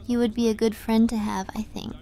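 A woman's voice: a little high, flat delivery, a little fast, close to an everyday voice. A woman playing a kind, gracious sorceress with a soothing manner in a fantasy audio drama. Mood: friendly, caring. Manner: gentle, soothing.

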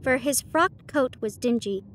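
A woman narrating a story aloud in a measured, careful reading. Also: sits high, animated, a little hiss or hum.

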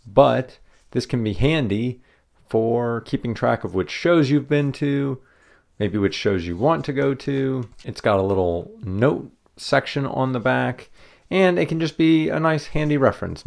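A man's voice: fairly low pitch, gravelly. A man who hosts a channel, casually walking through a step-by-step craft demonstration in a craft room. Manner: relaxed, friendly step-by-step explaining. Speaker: channel host. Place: indoor craft room at a table.

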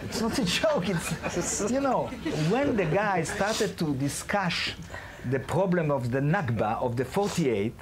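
Man lecturing in halting English. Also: sits high, animated, crowd noise. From Israel. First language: not English. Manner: halting, non-native phrasing with restarts. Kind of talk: public lecture.

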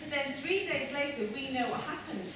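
A woman's voice: high voice, lively intonation, moderate pace. A middle-aged woman presenting to children, telling stories and explaining simply and expressively. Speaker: presenter of children's puppet show. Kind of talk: storytelling and chat to children. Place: school hall, standing by puppet stage. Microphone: far camera. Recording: echoey hall, far from mic, noisy.

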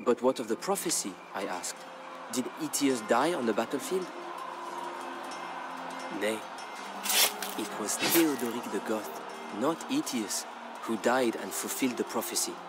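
A middle-aged man giving a scripted, dramatic historical narration in a solemn, measured storytelling manner. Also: lowish voice. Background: background music.

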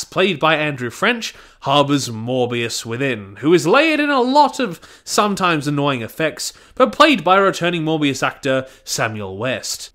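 A man's voice: fairly high pitch, a bit bright. A man reading a scripted review of an audio drama in a casual, wry manner.

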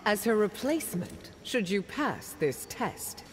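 A woman's voice: medium pitch, very animated, a little slow. An actress playing a commanding, hard-edged woman fighter in a video game. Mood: imperious. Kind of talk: video game character acting. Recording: long reverb, noisy background.

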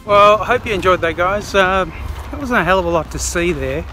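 A man's voice: high-pitched, moderate pace, music in the background. A man chatting casually and informally to his viewers as a vlogger, with the odd mild swear. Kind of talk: casual vlog chat to viewers.

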